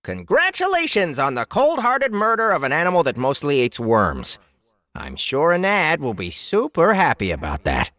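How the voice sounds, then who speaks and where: A man's voice: a little high, wildly swooping pitch, normal speed, pushed and bright, dry recording, voice pushed up, heavily acted. A man voicing a snide game system that scolds with sarcastic mock congratulations in a fantasy audiobook. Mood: sarcastic scolding.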